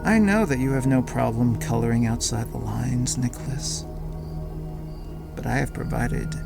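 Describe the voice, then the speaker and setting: An actor playing a calculating, persuasive man with a gravelly voice, making an offer in a web series drama. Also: medium pitch, lightly acted.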